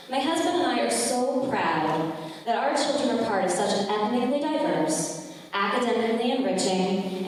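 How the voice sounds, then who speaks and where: A woman's voice: medium pitch, darkish tone. Woman giving a speech in an echoey hall. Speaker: school board candidate. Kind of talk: prepared closing campaign speech. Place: large echoey room at a public forum. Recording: echoey hall from far off, faint noise.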